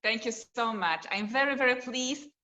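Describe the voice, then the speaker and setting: A woman's voice: fairly high pitch, normal speed, bright, forceful tone. A woman from Poland with a Polish accent, a guest artist talking casually in a video call interview, laughing often and speaking English as a second language. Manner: non-native phrasing, laughs often. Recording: dull lo-fi video call audio.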